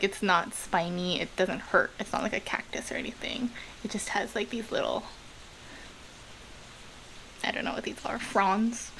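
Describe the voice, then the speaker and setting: A woman of about thirty chatting casually to camera in a small home kitchen, rambling with many ums and likes. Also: medium pitch. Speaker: art vlogger. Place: small home kitchen-studio indoors, rain outside. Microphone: camera close on the table. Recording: home video with clear rain noise behind. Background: rain noise.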